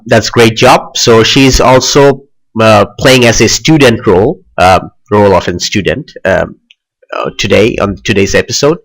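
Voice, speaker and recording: A young man hosting a software tutorial in a loose, chatty way, with frequent "like" and "uh" fillers. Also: fairly low pitch, rough recording. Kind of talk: casual screen tutorial.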